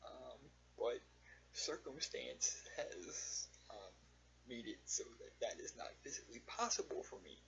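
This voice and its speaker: A man recording a casual, rambling personal vlog, speaking earnestly and haltingly in short phrases with long pauses. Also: mid-range voice, creaky, fairly bright tone. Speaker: vlogger. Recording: dull lo-fi audio with faint hum.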